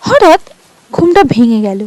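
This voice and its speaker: A woman narrating a first-person story in a suspenseful, expressive, theatrical way. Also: very high-pitched, measured pace, no room sound, low-quality recording. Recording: music bed under the voice.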